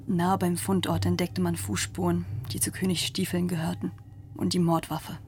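An actress playing a haunted witness who narrates a grim crime story in a radio drama, in terse, restrained sentences. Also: female voice, lowish voice, little pitch movement, normal speed, darkish tone, a little hiss or hum, close to an everyday voice. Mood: sombre. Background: music.

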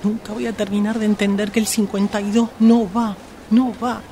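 A woman's voice: medium pitch, flat delivery, moderate pace, noisy background, natural voice. A woman with a Rioplatense Argentine accent playing a fed-up shopper's grumbling, sweary inner voice in a radio play. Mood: self-reproachful. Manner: muttering, exasperated, sweary.

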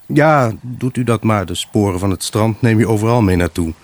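Low, husky, wistful abandoned husband. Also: male voice, darkish tone, no room sound. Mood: guarded, prickly. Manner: halting, pensive, addressing an unseen buyer. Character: wistful, evasive abandoned husband selling his house. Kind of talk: radio drama monologue with acted dialogue.

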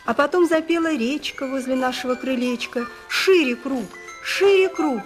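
A woman's voice: high voice, normal speed. A woman leading a music lesson for young children, asking them questions and reciting verse expressively.

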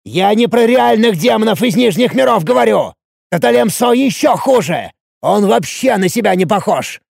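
A man voicing an enraged, panicking fantasy nobleman who shouts and snarls his curses in an audiobook. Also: sits high, sing-song, normal speed, vocal fry, dry recording, clean studio sound, voice pushed up, exaggerated voice. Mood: furious, alarmed.

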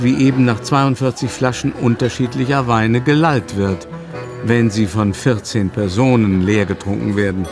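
An actor as the urbane narrator of a radio play from an old novel, measured, literary and wry. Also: male voice, mid-range voice, natural voice. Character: urbane storyteller narrating a 19th-century novel. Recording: music playing under the voice.

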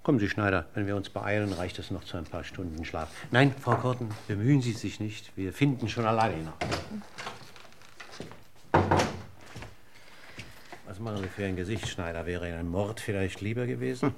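An actor playing a courteous, verbose police inspector in a radio crime drama, speaking formally and in a roundabout, deliberate way. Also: male voice, lowish voice, noisy background, lightly acted. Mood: courteous, wry.

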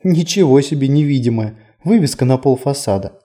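A man's voice: medium pitch, lively intonation, fairly quick, dark tone, lightly acted. A man voicing a curious, timid woman visitor in audiobook dialogue, questioning and hesitant. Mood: surprised, wondering.

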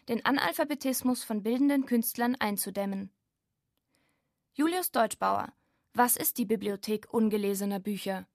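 A woman's voice: fairly high pitch, darkish tone, no echo, studio quality. A woman presenting in a scripted style, as on a radio programme. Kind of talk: scripted introduction narration.

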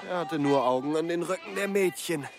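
A man's voice: fairly high pitch, fairly quick, lightly acted. A man acting in a German radio drama as a talkative, opinionated village man with a teasing, insistent manner. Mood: chatty, familiar.

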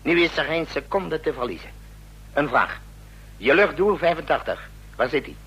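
An actor playing a brisk, wry, commanding president in a radio drama, giving curt orders in a husky voice. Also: male voice, on the high side, sing-song, fairly bright tone, muffled recording, exaggerated voice. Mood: urgent, commanding. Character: commanding, brisk, wry president rousing his generals at night.

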